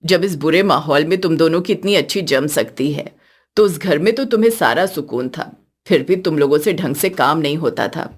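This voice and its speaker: A woman's voice: low voice, lively intonation, normal speed, husky, pitched below the performer's own voice. A woman voicing a stern, scolding older mother-in-law in a cartoon story, blunt and commanding. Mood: reproachful.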